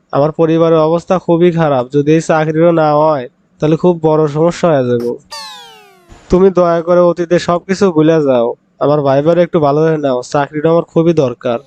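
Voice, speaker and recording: A man's voice: a little high, a little mellow, smooth, lo-fi sound, close to an everyday voice. A man voicing a pleading young job seeker in a cartoon, aggrieved and full of excuses, with a rural Bangladeshi accent. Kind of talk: cartoon comedy dialogue.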